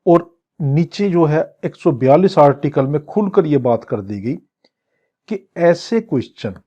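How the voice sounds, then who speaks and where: Male law tutor, fairly high voice, very slow and deliberate delivery. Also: expressive, mellow, dull audio. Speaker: law lecturer. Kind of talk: classroom lecture, reading English statute lines then explaining in Urdu.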